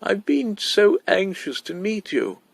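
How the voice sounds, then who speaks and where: An inquisitive, concerned mother from a storybook, acted by a man. The voice is lifted higher than the reader's own, with some creak, and she speaks eagerly in questions. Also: very animated, slow pace, no echo, heavily acted. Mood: eager, polite.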